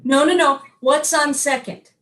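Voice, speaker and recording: A woman's voice: sits high, fairly even intonation, measured pace, a bit bright. A woman playing an unflappable baseball team manager in a staged comic dialogue, answering in an emphatic, matter-of-fact way. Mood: insistent. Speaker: amateur readers theater performer.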